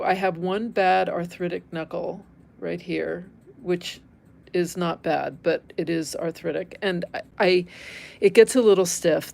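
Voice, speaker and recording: Unhurried, low-pitched American woman teaching crafts. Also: creaky, a little mellow. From Northern California, United States. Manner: candid, conversational, thinking aloud while working with her hands. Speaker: embroidery teacher, livestream host. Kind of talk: informal live craft demonstration, chatting with viewers.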